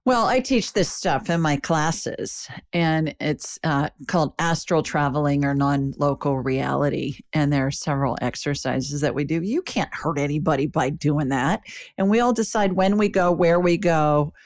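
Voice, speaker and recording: A woman's voice: low voice, moderate pace, no room sound, studio quality. A woman hosting an interview, speaking in a blunt, matter-of-fact teaching tone. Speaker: show host. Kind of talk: interview conversation.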